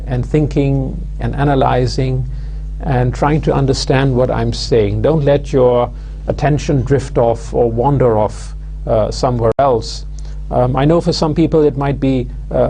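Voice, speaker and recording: A man, giving a recorded university lecture in a measured, hesitant way full of "uh" and "um" fillers. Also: mid-range voice, mellow, slight background noise. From London, England. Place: studio with plain backdrop.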